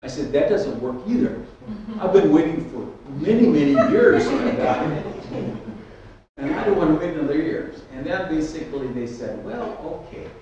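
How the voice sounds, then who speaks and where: A man's voice: on the high side, dull audio. An older man preaching in a church hall, his voice a little raised and carried back by heavy room echo. He stands off from the lectern mic, so he sounds distant, with a faint hiss of room noise underneath. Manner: storytelling, animated with hand gestures, frequent restarts. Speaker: pastor. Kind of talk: sermon. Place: church hall with stage and keyboard. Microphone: stand mic at lectern, far camera. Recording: echoey hall, speaker off mic.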